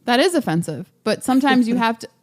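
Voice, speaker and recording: A woman's voice: on the high side, expressive, quick pace. A woman hosting a podcast, asking probing questions with a teasing edge. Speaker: podcast host. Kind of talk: casual podcast interview.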